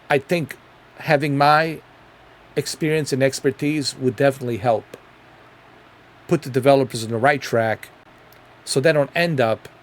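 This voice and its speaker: A middle-aged man from Bosnia with a Bosnian accent, rambling through a casual gaming commentary vlog with many ums and repeats. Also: medium pitch. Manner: rambling, repeats himself, frequent ums and uhs. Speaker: gaming video creator.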